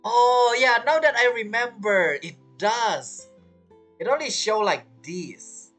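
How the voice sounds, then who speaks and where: A game streamer with a husky voice giving casual let's-play commentary. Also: medium pitch, animated, slow pace, a bit bright, soft background music.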